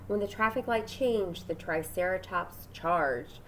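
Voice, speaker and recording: A woman's voice: lowish voice, a little slow, poor audio. A woman reading a children's storybook aloud dramatically, with asides and questions to her young listeners. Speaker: storybook reader.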